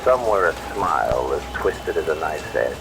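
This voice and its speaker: Man playing a deep, brooding midnight radio host. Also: big pitch swings, gravelly, very noisy, heavily acted. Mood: ominous, brooding. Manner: theatrical, measured, ominous. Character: brooding male late-night radio host, ominous and poetic. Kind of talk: scripted late-night radio monologue, ASMR roleplay. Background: loud music and rain.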